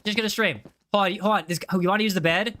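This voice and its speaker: A young male streamer with a high, bright voice talks to his live chat while he plays. He is casual and chatty and often says the same phrase two or three times in a row. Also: steady tone, rapid-fire, a little hiss or hum.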